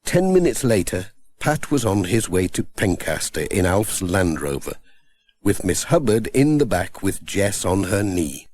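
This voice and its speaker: Unhurried, very expressive male storyteller. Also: sits low. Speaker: audiobook narrator. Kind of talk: scripted children's story narration.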